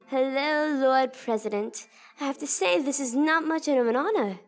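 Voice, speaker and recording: A woman's voice: sits high, normal speed. A woman playing a cheeky, self-assured Time Lord rogue in a sci-fi audio drama, with a breezy, teasing manner. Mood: wry. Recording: long hall reverb.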